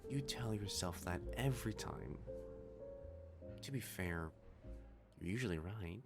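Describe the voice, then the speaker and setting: A man as a low-voiced, playfully doting catboy waiter. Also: animated, a little slow, over loud music. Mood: playful teasing.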